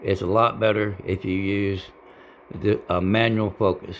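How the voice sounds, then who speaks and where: Man, gravelly fry, halting delivery, plain-spoken, casual home tutorial. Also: fairly low pitch, fairly even intonation, a little hiss or hum. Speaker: hobbyist giving a how-to demonstration. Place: indoor home radio room.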